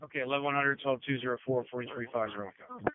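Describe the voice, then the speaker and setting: A man's voice: mid-range voice, racing, noisy background. A man working as a fire dispatcher, giving clipped, procedural readbacks over two-way radio. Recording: tinny narrowband radio transmission.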